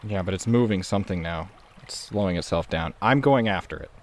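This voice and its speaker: A man's voice: deep voice, lively intonation, brisk, a little hiss or hum. A man playing a tabletop roleplaying game, casually talking through what his character does.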